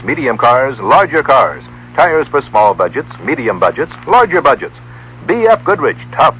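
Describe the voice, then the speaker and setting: American man, scripted radio commercial, animated intonation, raspy edge, muffled vintage sound. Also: mid-range voice. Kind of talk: scripted 1960s radio ad read.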